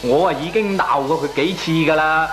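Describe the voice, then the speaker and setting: An actor playing a shameless, glib dog owner in a radio comedy drama, arguing back with a Hong Kong Cantonese accent. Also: male voice, fairly high pitch, normal speed, a bit bright, natural voice. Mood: defensive, dismissive. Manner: glib, chuckling, argues back with lame excuses. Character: shameless, jovial dog-owning male neighbour brushing off complaints.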